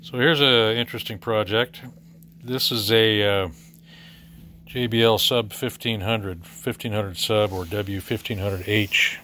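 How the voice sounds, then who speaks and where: A middle-aged American man giving a casual, halting, matter-of-fact walkthrough as a repair technician, filming in his workshop. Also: fairly low pitch, steady tone, bright, forceful tone. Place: cluttered indoor repair workshop. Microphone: handheld camera or phone mic. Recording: faint background noise.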